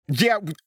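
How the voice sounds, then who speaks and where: A man's voice: a bit bright, dry recording. A man playing an anxious, haunted young host of a horror radio show in an audio drama. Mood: flustered.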